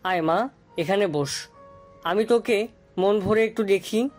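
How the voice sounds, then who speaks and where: A man's voice: high voice, slow. Tender loving father, voiced by a man. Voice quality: tender, soft-spoken. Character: gentle loving father of a grown daughter. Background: soft music.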